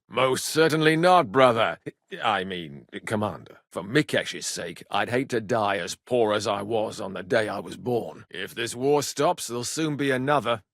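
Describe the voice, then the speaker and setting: A man voicing a gruff, sardonic, hard-drinking medieval mercenary in a video game, with a blunt, earthy, foul-mouthed way of talking. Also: fairly low pitch, a bit bright, no room sound, clean studio sound. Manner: earthy, blunt, swearing, wry. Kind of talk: video game character dialogue.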